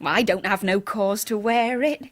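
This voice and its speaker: An actress playing a kindly, deferential Edwardian housemaid with a homely English way of speaking, in a radio drama. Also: female voice, mid-range voice, a little slow, lightly acted. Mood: warm, admiring. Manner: homely, unschooled speech.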